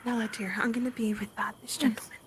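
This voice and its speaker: Woman playing a high-voiced, fretful townswoman. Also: little pitch movement, fast talker, slight background noise, natural voice. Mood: helpful. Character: anxious, helpful, genteel townswoman. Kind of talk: improvised live roleplay. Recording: game voice chat with loud music.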